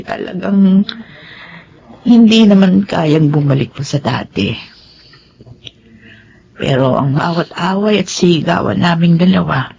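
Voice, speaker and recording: A woman's voice: low-pitched, darkish tone, muffled recording, slight background noise. An actress playing a remorseful, grieving older woman in a Filipino radio drama, emotional and plaintive. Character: remorseful, grieving older woman telling her life story.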